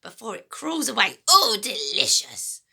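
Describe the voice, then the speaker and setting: A woman voicing a sinister, gleeful old grandmother from a children's storybook, throaty and whispery, with a drawn-out, menacing delivery. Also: a little high, sing-song, vocal fry, pushed and bright, breathy edge, pitched above the performer's own voice, heavily acted. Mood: gleeful relish. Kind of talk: dramatic children's storybook reading.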